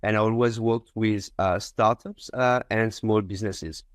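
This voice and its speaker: A man's voice: fairly low pitch, steady tone, unhurried, no echo, clean studio sound. A man from France with a French accent, explaining his business conversationally as an interview guest. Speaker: company founder, podcast guest.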